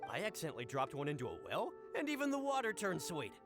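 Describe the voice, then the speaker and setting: Pushy wheedling game fruit seller, high for a man, swoopy salesman talk, music behind. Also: wildly swooping pitch, fast talker. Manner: salesman-like, emphatic. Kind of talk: video game voice acting.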